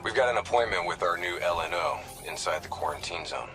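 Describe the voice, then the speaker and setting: Very deep, grumpy male soldier. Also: animated, fairly quick, bright, forceful tone, soft background music. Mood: grumpy, sardonic. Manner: dry, sardonic. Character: grumpy veteran male soldier, sardonic and distrustful. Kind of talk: video game cutscene acting.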